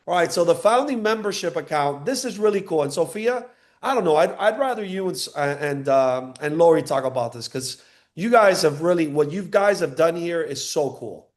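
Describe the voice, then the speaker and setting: A man's voice: fairly high pitch, lively intonation, normal speed. A man born in Cuba and raised in Miami giving an enthusiastic sales presentation on a live call, teasing listeners by name.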